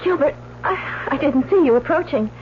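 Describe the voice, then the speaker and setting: A woman's voice: high-pitched, expressive, moderate pace. An actress playing a devoted, spirited young woman in love in an old-time radio drama. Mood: anxious. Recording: muffled, noisy old radio recording.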